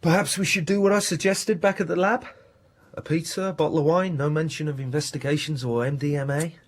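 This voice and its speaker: A man playing an earnest, persistent university researcher in a naturalistic radio drama, articulate and slightly husky. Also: a little high, fairly even intonation, a little fast. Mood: apologetic, flirtatious.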